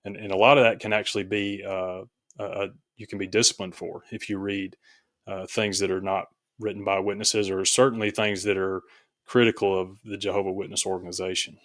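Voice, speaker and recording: A man telling his personal story reflectively as an interview guest, in a halting, thoughtful way, often correcting himself. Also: sits low, little pitch movement, fairly bright tone, dry recording, clean studio sound. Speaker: podcast guest, author.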